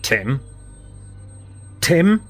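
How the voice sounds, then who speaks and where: A man voicing a furious, bullying father in a storybook, barking curt orders loudly. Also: on the high side, sing-song, very slow, soft background music, pitched above the performer's own voice, full character voice.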